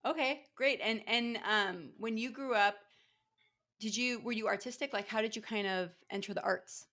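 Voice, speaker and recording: A woman's voice: fairly high pitch, normal speed, sharp tone. A woman hosting a podcast interview, asking long, rambling questions with restarts and fillers. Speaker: podcast host. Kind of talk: conversational interview.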